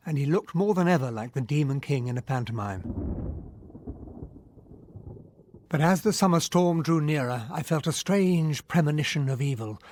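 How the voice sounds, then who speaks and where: Actor as well-spoken English captain, lightish voice. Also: male voice, fairly high pitch, slight background noise, close to an everyday voice. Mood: foreboding. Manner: well-spoken, expressive storytelling. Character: English army captain narrating a murder mystery, earnest, indignant. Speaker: narrator and character in a radio drama. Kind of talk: first-person radio drama narration.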